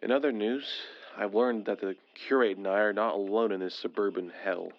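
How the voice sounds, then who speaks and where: A man's voice: lowish voice, fairly even intonation, brisk, muffled recording, slight background noise, natural voice. A man playing an anxious, frustrated survivor of an alien invasion, formal and earnest, in an audio drama. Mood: uneasy, wry. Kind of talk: dramatic audio drama acting with journal narration.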